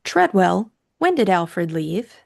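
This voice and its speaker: Young lady, acted by a woman, inquisitive, bold, brisk, lowish pitch, audiobook drama. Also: sing-song, vocal fry, a little mellow, exaggerated voice. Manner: brisk, direct questioning.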